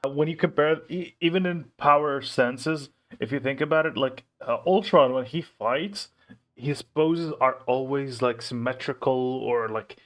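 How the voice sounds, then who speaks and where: A man's voice: mid-range voice, a little slow, a bit bright. A man giving casual reaction commentary as a host, repeating words as he thinks aloud.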